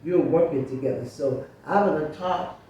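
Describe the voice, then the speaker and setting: Older woman, Chicago, animated storyteller; distant mic in a roomy space, faint hiss. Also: deep voice, normal speed. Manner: storytelling, lively recollection. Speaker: guest, author. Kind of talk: reminiscing interview talk. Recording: echoey room, distant mic.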